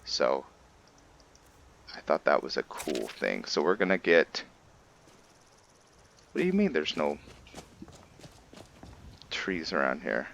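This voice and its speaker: Deep-voiced man giving casual game commentary. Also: wildly swooping pitch, slow, creaky, long pauses, a bit bright, a little hiss or hum. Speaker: video game roleplay host.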